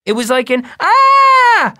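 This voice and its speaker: A narrator plays a little second-grade brother with a very high, swooping voice. He whines and exclaims in childish excitement, as in a children's audiobook. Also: unhurried, full character voice. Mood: whiny, defensive. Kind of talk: audiobook character voice.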